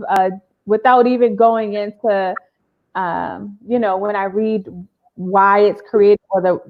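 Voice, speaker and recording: A woman's voice: medium pitch, muffled recording. A woman therapist hosting a casual live video interview, talking with many ums and uhs. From Boston area. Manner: warm-up chatter with many fillers. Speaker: therapist and live show host.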